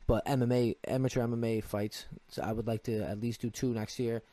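A man's voice: medium pitch, fairly even intonation, brisk, mellow. A man in his twenties speaking as a podcast guest in a casual, slangy and earnest way, repeating short phrases for emphasis. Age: 20s. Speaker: podcast guest, amateur MMA fighter. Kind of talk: casual chat.

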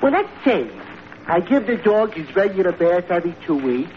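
An actor playing a cheerful, dim-witted, literal-minded barfly in an old-time radio comedy. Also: male voice, high voice, very animated, normal speed. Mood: goofy. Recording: muffled, very noisy vintage radio recording.

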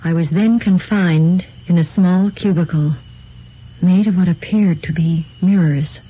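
An actress playing a composed woman in a radio drama, reading in a measured, solemn manner. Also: fairly low pitch, mellow, breathy edge. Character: composed woman reading a grim account aloud. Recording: dull lo-fi radio recording, faint noise.

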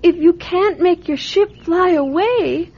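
Very high-voiced, earnest little girl. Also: slow, full character voice. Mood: earnest, worried. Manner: earnest, questioning, simple childlike phrasing. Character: trusting, curious, imaginative nine-year-old girl. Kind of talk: radio drama acting. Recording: muffled radio drama recording.

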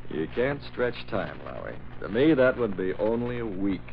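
Low-voiced, fatherly newspaper chief. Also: creaky, heavily acted. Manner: measured, gently reasoning, wry. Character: calm, fatherly, shrewd male newspaper chief. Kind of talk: 1940s radio drama acting. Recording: muffled, slightly noisy old radio recording.

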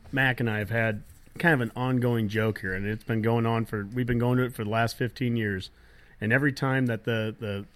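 A man's voice: lowish voice, fairly bright tone. A man co-hosting a casual football podcast, emphatic and opinionated, often correcting himself. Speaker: podcast co-host.

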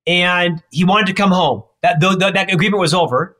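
A man with a stutter speaks as a guest in an interview, his voice sitting a bit higher than most men's. He talks emphatically and rattles off his points in quick runs. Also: sharp tone. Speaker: leadership expert guest. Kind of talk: interview answers.

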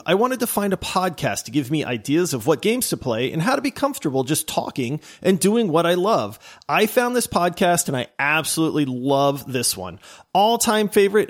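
A man co-hosting a casual gaming podcast, enthusiastic and prone to stumbling restarts. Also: a little high. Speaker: podcast co-host.

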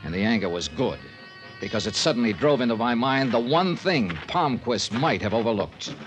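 A man's voice: on the low side, lightly acted. An American actor playing a hard-boiled, sardonic insurance investigator who narrates his case in clipped 1950s radio style. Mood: angry, determined. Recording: vintage radio recording with music.

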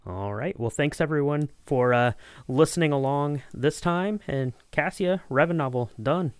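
A man's voice: on the high side, moderate pace, clean tone, no room sound. Casual male podcast host, dry close sound. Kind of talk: casual fan podcast chat.

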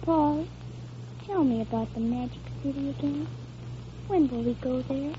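A woman's voice: high voice, measured pace, warm tone. A young female performer playing a dreamy, trusting little girl in a vintage American radio drama, with childlike wonder. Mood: sleepy, trusting. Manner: wonder-struck, childlike delivery. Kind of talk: 1930s-40s American radio drama acting. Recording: muffled old radio recording with hiss.

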